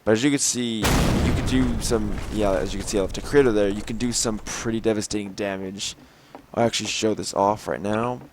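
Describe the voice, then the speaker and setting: A young man with a slightly raspy, tired voice, showing off a game mod in rambling commentary full of fillers and throat clearing. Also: on the low side, very noisy. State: slightly raspy from lack of sleep. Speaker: gameplay video host. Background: game gunfire and clicks.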